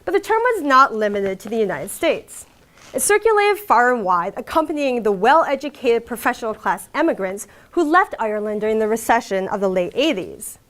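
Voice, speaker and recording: Lively-pitched woman giving a literary lecture. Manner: measured, emphatic reading with occasional fillers. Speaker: academic lecturer. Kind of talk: reading a prepared academic lecture. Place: lecture hall.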